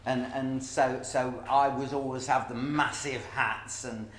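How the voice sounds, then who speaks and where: A man's voice: mid-range voice, fairly even intonation, measured pace, slight background noise. A middle-aged man telling stories emphatically in a casual interview about his stage work. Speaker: pantomime dame performer. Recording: echoey room.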